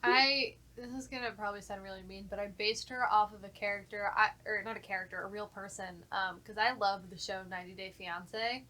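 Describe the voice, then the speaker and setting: A woman's voice: mid-range voice, steady tone, a little fast, few pauses, pushed and bright. A woman who works as a voice actor, talking informally and enthusiastically in a casual podcast discussion. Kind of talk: casual group podcast chat.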